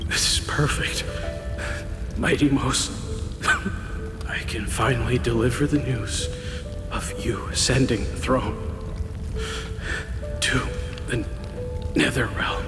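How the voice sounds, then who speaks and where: An actor playing a fallen warrior in a video game, exultant in death and devoted to his king, halting and reverent, with reverb. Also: medium pitch, over music. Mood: exultant, dying. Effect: long reverb. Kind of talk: video game dramatic acting.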